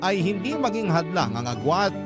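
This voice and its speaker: A man's voice: sits high, very animated, moderate pace, over loud music. A man voicing an earnest, lovestruck Filipino narrating his love story in heartfelt, reflective radio style. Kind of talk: scripted first-person radio narration.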